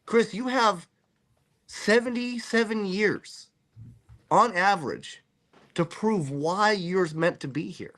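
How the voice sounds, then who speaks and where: A man hosting a podcast in casual chat, animated and joking as he rambles through his musings. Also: high voice, slow. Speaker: podcast host.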